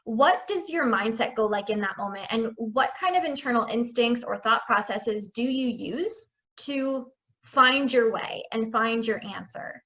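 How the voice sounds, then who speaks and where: An upbeat woman hosts a casual interview, chatting freely and laughing easily. Her voice sits a little high. The sound is somewhat dull and lo-fi. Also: expressive, unhurried, fairly bright tone. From Italian family background. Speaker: podcast host.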